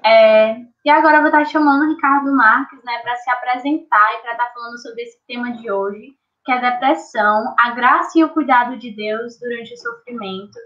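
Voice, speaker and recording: A woman's voice: on the high side, moderate pace, few pauses, a bit bright, dull audio. An eighteen-year-old woman, co-hosting a casual livestream chat. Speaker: youth livestream co-host.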